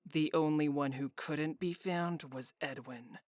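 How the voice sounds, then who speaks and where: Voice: medium pitch, unhurried, dry recording, studio quality, natural voice. An actor playing a curt, condescending head of a town guard in a fantasy visual novel. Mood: matter-of-fact. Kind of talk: visual novel character acting.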